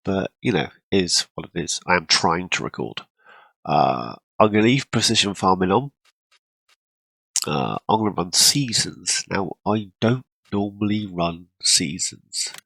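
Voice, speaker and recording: A man hosting a gaming channel, congested from a cold and clearing his throat often, rambling casually through his gameplay. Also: deep voice, fairly bright tone, no echo, low-quality recording. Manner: rambling, self-deprecating, frequent throat clearing.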